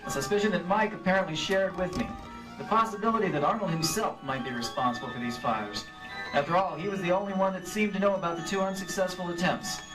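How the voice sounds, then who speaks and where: Guy playing cool skeptical radio detective, highish voice, music clearly behind, old-time drama style. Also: fast, lightly acted. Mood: suspicious narrating. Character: male insurance investigator detective, probing, cool, skeptical. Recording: distant stage mics, music behind.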